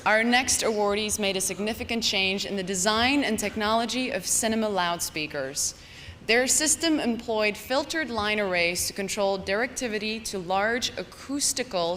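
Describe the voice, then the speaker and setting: A woman's voice: medium pitch, fairly bright tone. Lady presenter reading out award citations, big echoey hall, bit of noise. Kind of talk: scripted award citation read aloud. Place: large hall at awards ceremony.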